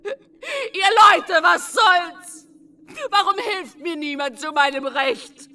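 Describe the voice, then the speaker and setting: A woman's voice: extremely high pitch, slow pace, pushed and bright, full character voice. An actress playing a wronged, grief-stricken woman in a German radio play, lamenting and accusing in archaic literary speech. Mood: desperate outcry. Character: wronged, wild, grief-stricken woman reproaching her lost lover.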